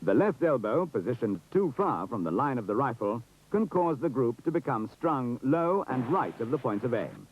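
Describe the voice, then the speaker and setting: A man's voice: medium pitch, very animated, normal speed, hardly any pauses, a little hiss or hum. A British man giving formal, precise, authoritative scripted narration for an instructional film. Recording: dull, muffled 1970s film soundtrack.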